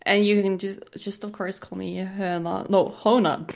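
A woman's voice: medium pitch, fairly even intonation, unhurried, creaky, darkish tone. A woman in her twenties with a Norwegian accent, chatting casually as a podcast guest. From Norway. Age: 20s. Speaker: podcast guest, game streamer. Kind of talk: casual chat.

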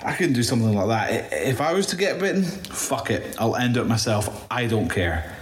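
A man's voice: medium pitch, sing-song, natural voice. Roomy-sounding actor as a shaken male apocalypse survivor. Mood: grim, bitter. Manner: halting, stammering, sweary, weary. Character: shaken, bitter male zombie apocalypse survivor recounting his ordeal. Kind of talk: first-person audio drama monologue, confessional. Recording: roomy echo, faint background noise.

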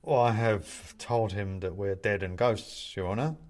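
A man's voice: high-pitched, lively intonation, moderate pace, mellow, voice pushed up. A man voicing a deferential, homely little china figurine ghost in a storybook reading, respectful and earnest. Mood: ashamed.

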